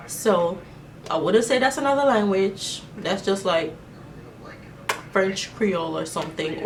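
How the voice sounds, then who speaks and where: A woman's voice: fairly low pitch, far from the mic. A young woman from Jamaica with a Jamaican accent, answering get-to-know-me questions in a chatty, rambling way. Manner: chatty, rambling, many fillers. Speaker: vlogger. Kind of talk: casual Q&A vlog. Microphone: camera mic.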